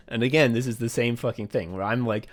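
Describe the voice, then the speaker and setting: A man's voice: medium pitch, fairly even intonation, fairly quick. A man chatting casually as a podcast host, rambling and swearing as he retells things and quotes himself. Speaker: podcast host and audio drama writer. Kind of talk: casual behind-the-scenes podcast chat.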